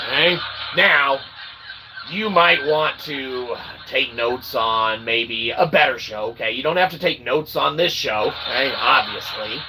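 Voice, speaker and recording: A man's voice: mid-range voice, pushed and bright, a little hiss or hum, rough recording. A man hosting his own channel in a rambling, self-promotional monologue, sarcastic and self-mocking, who keeps asking "okay?". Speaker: independent channel host.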